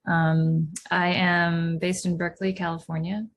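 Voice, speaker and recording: A woman's voice: fairly low pitch, level tone, unhurried. A woman from Hong Kong, a guest artist on a video-call panel, speaking in a thoughtful way with frequent ums. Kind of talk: panel discussion.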